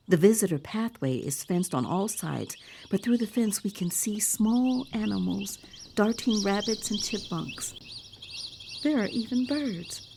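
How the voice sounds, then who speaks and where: A woman performing audio fiction as an awed theme-park visitor in a bleak future, mixing breathy wonder with wry understatement and often slipping into a whisper. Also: on the low side, mellow, full character voice. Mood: hushed awe. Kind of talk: dramatic first-person audio fiction narration.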